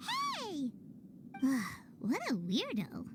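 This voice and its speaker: Casting: tiny childlike fairy sidekick, extremely high and wildly animated, blunt, excitable, with noticeable background noise. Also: female voice, drawn-out words, heavily acted. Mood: sceptical, startled. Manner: refers to self in third person, exclamatory. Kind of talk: cartoonish fantasy game dialogue.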